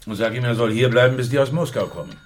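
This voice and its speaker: An actor playing a weary, evasive middle-aged Soviet police superior in a radio drama, gruff and blunt in manner. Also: male voice, mid-range voice, fast talker, a little hiss or hum, natural voice. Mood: guarded, dismissive.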